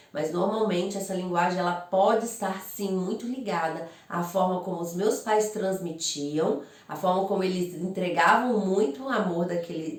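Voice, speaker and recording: A woman's voice: medium pitch, darkish tone. A woman with a Brazilian accent, a couples psychologist, explaining in a friendly, chatty way with rhetorical questions. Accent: Brazilian Portuguese. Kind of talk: informal explanatory talk to camera. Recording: roomy echo, voice from far off.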